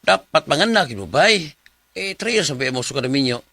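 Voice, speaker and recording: Chummy Cebuano office buddy. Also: male voice, on the high side, animated, a little fast, sharp tone, full character voice. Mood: nagging, teasing. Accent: Filipino, Cebuano. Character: chummy, nosy male office buddy, teasing and frank. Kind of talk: radio drama acting.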